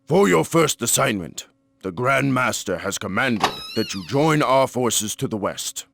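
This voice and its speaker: An actor playing a stern, proud warrior guarding a temple in a video game, with a formal, commanding delivery. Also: male voice, mid-range voice, animated, a little slow, creaky, noisy background. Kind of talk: video game cutscene voice acting.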